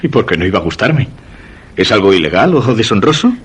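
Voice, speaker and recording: An actor with a Castilian Spanish accent playing a curious, insistent husband who recounts a mysterious romance in measured, literary radio-play style. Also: male voice, fairly low pitch, very animated, husky, heavily acted. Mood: curious, probing.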